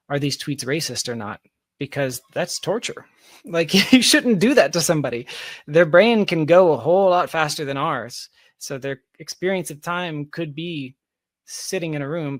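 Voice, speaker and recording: A man's voice: on the high side, no echo. Man thinking aloud, dry close sound. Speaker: interview guest, tech company founder. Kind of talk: conversational interview, speculating at length.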